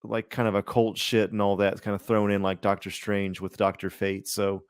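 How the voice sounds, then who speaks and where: A man's voice: fairly low pitch, level tone, moderate pace, clear, resonant tone, no echo. Rambling man chatting casually, close dry sound. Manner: rambling, informal, slangy. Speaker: podcast co-host. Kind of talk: casual chat about superhero films.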